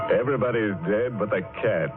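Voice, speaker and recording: A man hosting a 1940s radio horror show with sardonic, mock-sinister, drawn-out delivery and macabre humour. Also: on the low side. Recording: narrow-band 1940s radio with loud music.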